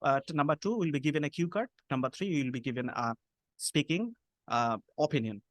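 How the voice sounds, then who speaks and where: A man's voice: fairly high pitch, fairly quick, no room sound. A man from Bangladesh with a Bangladeshi English accent, giving spoken feedback as an IELTS speaking coach, with many hesitant fillers.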